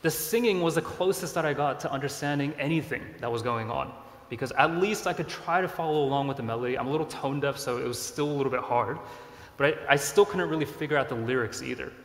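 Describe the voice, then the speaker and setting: A man in his thirties, originally from Korea, preaching a sermon in a relaxed, conversational way with self-deprecating asides. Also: medium pitch, fast. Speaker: pastor. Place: church sanctuary. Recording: echoey church hall.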